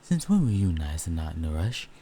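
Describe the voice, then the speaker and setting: A man acts out a male werewolf in an audio roleplay, casual and blunt. His voice is deep, husky and very mellow. He teases and competes, yet stays a loyal partner. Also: big pitch swings, fairly quick, exaggerated voice. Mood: teasing.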